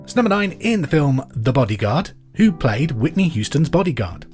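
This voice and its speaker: Lively male quiz host over guitar music. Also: medium pitch. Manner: repeats questions clearly and deliberately. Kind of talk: pub quiz question reading, scripted presenting.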